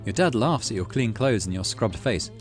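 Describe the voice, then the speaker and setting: A middle-aged man doing an informal let's play, reading game text aloud and thinking out loud as he plays. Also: lowish voice, lively intonation, normal speed, faint music behind. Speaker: gaming channel host.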